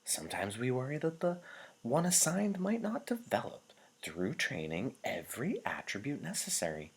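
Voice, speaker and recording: A gracious, commanding woman elder as played by a male narrator speaks to her community in a storybook reading. The voice is lifted in pitch with a slightly husky grain, formal and measured throughout. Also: huge pitch swings, full character voice. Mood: measured, explanatory. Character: gracious, commanding female chief elder addressing a crowd.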